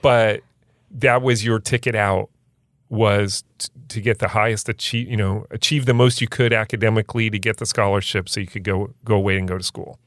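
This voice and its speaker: A man's voice: fairly low pitch, steady tone, fairly quick, fairly bright tone, dry recording, studio quality. A man hosting a podcast, asking curious, encouraging questions. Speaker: podcast host. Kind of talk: casual interview.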